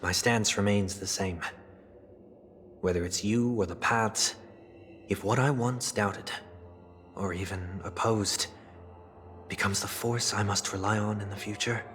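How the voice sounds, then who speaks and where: A refined, disciplined man in a dramatic video game scene. His pitch sits on the low side and his delivery is measured, formal and earnest. Music plays underneath. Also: steady tone, a bit bright. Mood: resolute, solemn. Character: refined, introspective man of rigid, stately discipline. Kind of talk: scripted dramatic game dialogue.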